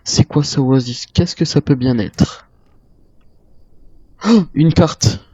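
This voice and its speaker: A man's voice: mid-range voice, slow pace, a little mellow, husky, no room sound, highs cut off. A man improvising in character as a survivor in a zombie game, thinking aloud as he plays. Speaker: gamer roleplaying a zombie-island survivor. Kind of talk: in-character gameplay narration, improvised.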